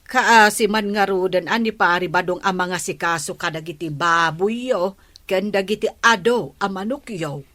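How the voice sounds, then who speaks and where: A woman's voice: mid-range voice, moderate pace, a bit bright, lightly acted. A woman playing a frank, sympathetic village neighbour in an Ilocano radio comedy. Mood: chiding. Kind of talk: comedic radio drama acting.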